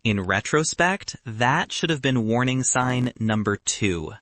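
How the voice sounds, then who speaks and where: Male audiobook narrator for light comic fiction: wry, expressive pitch movement, dry studio-like sound. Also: slow, no echo.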